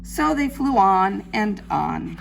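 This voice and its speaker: Preschool teacher lady reading a picture book, gravelly fry in her voice, slight noise behind. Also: medium pitch, animated, rough recording. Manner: simple, deliberate phrasing addressed to children. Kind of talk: read-aloud storytelling for young children. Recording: faint background noise.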